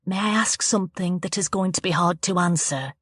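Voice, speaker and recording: A woman voicing a calm, caring female police detective who speaks in a gentle, soothing way. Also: on the low side, fairly quick, husky, no echo, clean studio sound.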